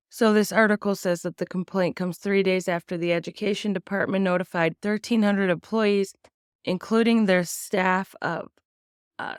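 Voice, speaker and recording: Blunt, raspy-voiced woman. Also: fairly low pitch, dry recording, studio quality. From United States. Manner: blunt, swears freely, many ums. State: sick and tired, a bit raspy. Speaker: podcast host. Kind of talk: casual opinion commentary on news.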